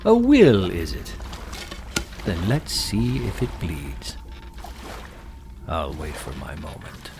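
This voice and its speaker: A man's voice: low-pitched, wildly swooping pitch, slow pace, pauses often, over music, full character voice. A man playing a brash, combative harpooner with a cocky, defiant manner in an audio drama. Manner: cocky, taunting. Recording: noisy sea and boat ambience.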